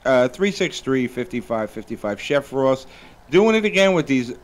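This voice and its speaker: A man's voice: mid-range voice, big pitch swings, moderate pace. A man hosting a live talk radio show, chatting loosely and reading sponsor plugs with lots of fillers. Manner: rambling, filler-heavy, upbeat plugs. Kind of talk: casual radio chat with sponsor reads.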